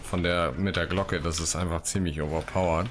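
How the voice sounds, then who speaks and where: A man's voice: low voice, fairly even intonation, moderate pace, slight background noise. A man commentating casually on his gameplay as the host of a gaming video. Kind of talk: casual let's play commentary, reading quests aloud. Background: game music.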